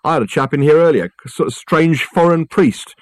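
Casting: wiry, talkative porter, done by a male reader, boastful, raised pitch, storybook comedy. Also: brisk, no echo, natural voice. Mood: chatty. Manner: chatty, pleased with his own impressions. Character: wiry chatty college porter, man, boastful amateur impressionist.

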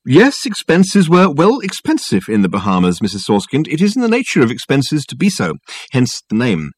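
A man's voice: mid-range voice, huge pitch swings, moderate pace, slightly rough, dry recording, voice pushed up. A man voicing a grandiloquent, unflappable male detective who talks soothingly and floridly. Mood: soothingly pompous. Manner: florid, soothing, mock-sorrowful.

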